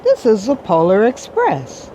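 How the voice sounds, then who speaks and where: A woman's voice: mid-range voice, huge pitch swings, measured pace, dark tone, a little hiss or hum, voice pushed up. A woman voicing a commanding, hearty male train conductor in a storybook, emphatic and projecting. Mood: hearty, proud.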